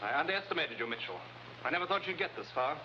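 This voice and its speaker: A smug, genteel ringleader talks briskly in a fairly high male voice. He is suave and condescending in 1950s TV style, on a muffled, noisy old soundtrack. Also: sing-song. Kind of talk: 1950s television adventure acting.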